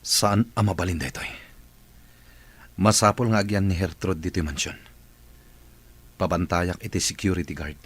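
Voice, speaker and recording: A man playing a kindly, grateful master of a mansion in an audio drama, husky-voiced, courteous and quietly authoritative. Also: sits low, fairly even intonation, moderate pace, pauses often. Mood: concerned, firm.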